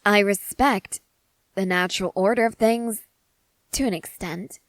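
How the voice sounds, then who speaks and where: A woman playing an eager, excitable scientist soldier fascinated by creatures, speaking earnestly and emphatically to the listener in an ASMR roleplay. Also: medium pitch, measured pace, no room sound, close to an everyday voice. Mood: earnest reassurance.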